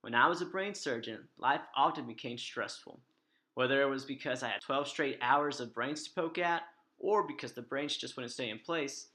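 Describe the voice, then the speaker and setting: A man playing a smug doctor turned cigarette boss, giving an earnest sales pitch in a spoof old-time radio advertisement. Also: bright, forceful tone.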